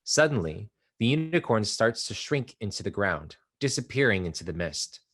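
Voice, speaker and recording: A man reading stage directions aloud in a play table read, measured and even. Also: lowish voice, breathy edge. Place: live reading at an art exhibition.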